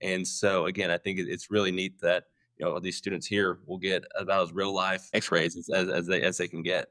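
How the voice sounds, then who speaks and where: A man's voice: low voice, little pitch movement, a little fast, fairly bright tone, no room sound, clean studio sound. A man who is a campus president, talking casually in an interview, hesitant with many restarts.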